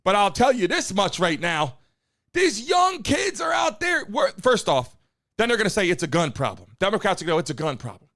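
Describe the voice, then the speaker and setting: Brief: high-pitched guy hosting a live stream, brash hype-man who keeps calling out to his chat. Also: big pitch swings, a little fast, fairly bright tone. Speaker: live-stream show host. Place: home studio in Miami.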